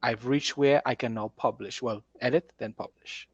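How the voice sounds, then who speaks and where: A man's voice: medium pitch, steady tone, normal speed, slightly rough, no room sound, dull audio. A man hosting a casual conversation as an author, explaining things with enthusiasm. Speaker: host, author. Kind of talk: casual conversational talk about writing.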